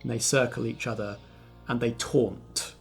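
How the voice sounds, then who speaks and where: A man narrating a tabletop roleplaying game as game master, in a measured, descriptive storytelling manner. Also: mid-range voice, steady tone, gravelly. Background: faint background music.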